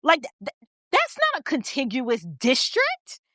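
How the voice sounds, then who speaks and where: Female American political host, high voice, dry close sound, emphatic and blunt off-the-cuff delivery. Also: huge pitch swings, a little slow, bright, forceful tone, no room sound. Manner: blunt, emphatic, repeating phrases for stress. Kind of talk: opinionated off-the-cuff commentary.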